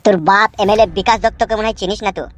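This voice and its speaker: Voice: mid-range voice, flat delivery, rapid-fire, background noise, pitched below the performer's own voice, close to an everyday voice. A voice performer playing an arrogant young bully in a cartoon, who makes boastful threats and insults. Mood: arrogant, threatening. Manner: boastful threats, then wailing in pain. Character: arrogant young male bully, son of a politician. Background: background music.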